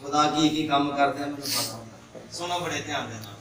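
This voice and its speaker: A man's voice: fairly high pitch, fairly quick. A young man preaching to a congregation, quizzing the crowd in call-and-response. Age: young adult. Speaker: pastor. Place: church hall with congregation. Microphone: handheld mic at a pulpit, filmed from afar. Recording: echoey hall, distant mic, crowd.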